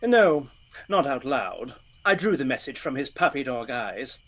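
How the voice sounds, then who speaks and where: A man's voice: medium pitch, big pitch swings, measured pace, fairly bright tone, full character voice. A man acting a gallant, teasing outlaw leader in a radio play, with courtly, playful phrasing.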